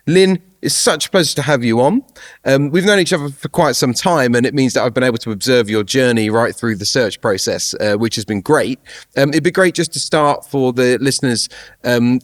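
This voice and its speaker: Podcast host, male, mid-pitched, friendly and a bit rambling when asking questions. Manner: friendly, filler-heavy questions. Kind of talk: interview hosting.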